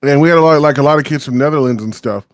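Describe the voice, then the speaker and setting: A man's voice: on the high side, rapid-fire, dry recording. Podcast dude in his home studio, rambling and swearing, dry close sound. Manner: rambling, profane, lots of fillers. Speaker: podcast co-host. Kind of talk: casual podcast chat.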